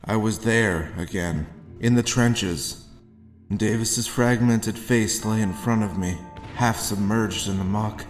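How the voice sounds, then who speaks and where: An actor playing a grim, haunted war veteran, telling his story deliberately in a noir audio drama. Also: on the low side, faint music behind. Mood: somber, haunted. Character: haunted hard-boiled male war veteran recounting his grim past.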